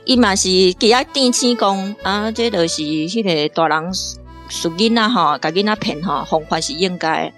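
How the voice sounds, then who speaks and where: A woman from Taiwan, a guest reader, talking through a radio drama in a lively way, often laughing and restarting her phrases. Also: mid-range voice, normal speed, soft background music. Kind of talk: casual on-air discussion.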